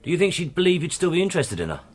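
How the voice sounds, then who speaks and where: An actor playing a wry, firm police inspector with a short temper and an authoritative manner in a radio drama. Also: male voice, a little high, sing-song, rapid-fire. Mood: businesslike. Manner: authoritative, sardonic. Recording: radio drama with clear background noise.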